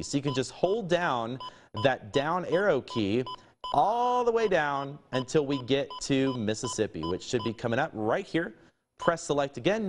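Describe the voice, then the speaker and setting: Young guy tv weather presenter, mid pitch, explaining how-to steps casually in a studio. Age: young adult. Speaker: TV meteorologist. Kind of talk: step-by-step on-air demonstration. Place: indoor TV news studio.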